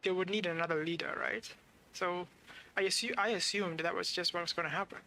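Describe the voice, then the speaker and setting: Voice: mid-range voice, fairly even intonation, fairly quick, sharp tone, poor audio. A game streamer giving casual, conversational live reactions, thinking aloud about the story.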